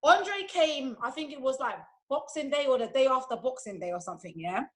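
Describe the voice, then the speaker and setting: A woman tells a chatty, laughing story to a friend over a video call. Her voice is high and bright for a woman. The delivery is loose and casual. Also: lively intonation, a little fast. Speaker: friend of the host.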